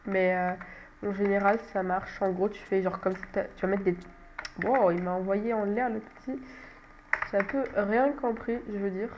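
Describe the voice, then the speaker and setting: A woman's voice: mid-range voice, steady tone, normal speed, lo-fi sound, slight background noise. A young woman with a cold-stuffed nose, chatting casually over a game she plays and often making fun of herself. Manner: chatty, self-mocking, laughs often. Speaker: gaming channel host. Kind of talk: casual gameplay commentary.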